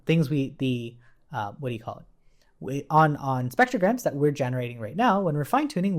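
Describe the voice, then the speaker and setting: A man giving a casual, explanatory coding tutorial, thinking aloud in an informal way as he works. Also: mid-range voice. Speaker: programming tutorial instructor.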